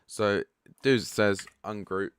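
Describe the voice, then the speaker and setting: Croaky deep-voiced man explaining software. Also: measured pace, dry recording. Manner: relaxed and chatty, frank asides. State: croaky, just woken up. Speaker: product developer giving a setup tutorial. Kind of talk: casual screen-share walkthrough.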